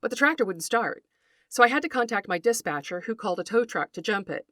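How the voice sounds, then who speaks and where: A middle-aged woman hosting a podcast, reading a scripted narration in an articulate, deliberate storytelling way and speaking directly to her listeners. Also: fairly high pitch, expressive, sharp tone, no room sound, studio quality. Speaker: podcast host, writer and folklorist.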